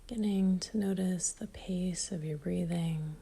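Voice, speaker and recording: A woman leading a guided meditation in a soft, breathy near-whisper, calm and gentle, leaving long pauses. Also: lowish voice.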